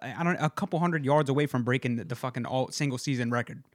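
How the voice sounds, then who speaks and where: A man's voice: medium pitch, fast talker, no echo, studio quality. A man co-hosting a podcast, talking in loose, crude, laughing banter full of restarts. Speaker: podcast co-host. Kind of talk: casual comedic chat.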